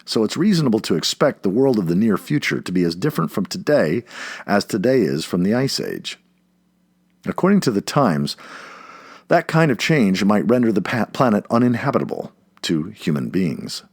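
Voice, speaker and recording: A man's voice: on the low side, very animated, normal speed, husky, no room sound, clean studio sound. A man narrating an essay aloud like an audiobook, with emphatic, dramatic delivery.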